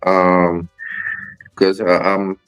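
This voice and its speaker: Man, lowish pitch, halting, fillers, interview guest. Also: completely flat delivery, a bit bright, clean tone, soft background music. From Puerto Rico. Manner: halting, with many fillers and restarts. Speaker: guest wrestler. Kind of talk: casual interview chat.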